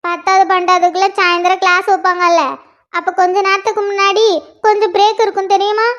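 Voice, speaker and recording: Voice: very high voice, level tone, fast talker, fairly bright tone. A performer voicing a chatty schoolgirl eagerly telling her sister about school, on a dull lo-fi recording. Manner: eager storytelling. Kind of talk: one-person comedy skit.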